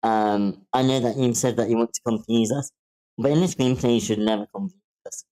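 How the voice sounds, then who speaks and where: A man giving frank critique in an online meeting, blunt, deliberate and emphatic in his delivery. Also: mid-range voice, warm tone, low-quality recording. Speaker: table-read participant giving script feedback. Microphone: online meeting call.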